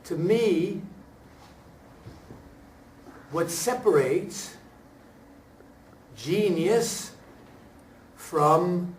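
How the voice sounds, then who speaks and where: A high-pitched man talks in an echoey room, some way from the mic. He is reflective and deliberate, often breaking his sentences into short, halting pieces. It has the feel of an actor discussing a performance after a show. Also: animated, a little hiss or hum. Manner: halting, deliberate phrasing with emphatic pauses. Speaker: stage actor speaking at a talkback. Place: room at a staged reading, before an audience. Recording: echoey room, picked up from far off.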